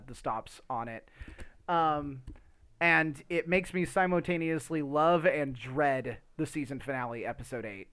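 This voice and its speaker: A man's voice: medium pitch, a bit bright. Rambling, wry man chatting about games. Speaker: podcast co-host and game streamer. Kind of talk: casual gaming chat.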